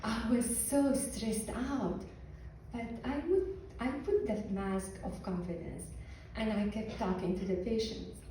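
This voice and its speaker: Brief: woman, fairly high but mellow voice, wry deliberate storyteller. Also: a little hiss or hum. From Syria. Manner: wry, deliberate, with pauses for effect. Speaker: physician giving a talk. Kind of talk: humorous storytelling speech. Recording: echoey hall from far off.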